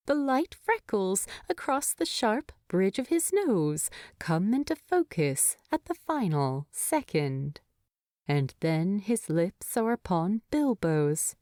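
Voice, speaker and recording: A woman narrating a story in an expressive, tender, unhurried way. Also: medium pitch, dry recording, clean studio sound. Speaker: fan fiction narrator.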